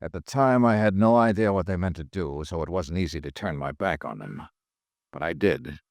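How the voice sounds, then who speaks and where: An actor playing a persistent insurance investigator who narrates a 1950s American radio drama in a wry, dry way. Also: male voice, low voice, expressive, moderate pace, no room sound. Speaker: lead and narrator.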